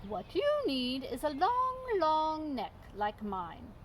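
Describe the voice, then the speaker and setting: A woman reads the part of a female giraffe from a children's storybook, high in pitch and very animated. She is blunt and sure of herself. She lectures with condescending emphasis, over some faint background noise. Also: takes it slowly, heavily acted. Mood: smug, lecturing. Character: blunt, self-assured female giraffe, matter-of-fact and condescending. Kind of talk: children's storybook read-aloud.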